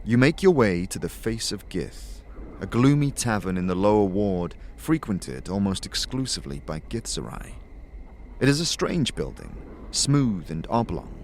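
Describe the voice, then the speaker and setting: Low-voiced man narrating a fantasy tale. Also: slight background noise. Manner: measured, theatrical storytelling. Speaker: narrator and producer of an audio series. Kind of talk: dramatic scripted second-person narration. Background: music.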